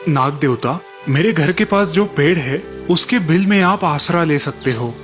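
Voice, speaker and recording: A man voicing a kind, honest village father in an animated moral tale, earnest and polite. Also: fairly high pitch, a little slow, soft background music. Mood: respectful.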